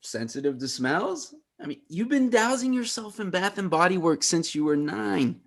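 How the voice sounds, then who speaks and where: A man playing an awkward, earnest teenage brother in a sitcom table read, stammering and halting. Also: high voice, lively intonation, vocal fry, full character voice. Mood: deadpan.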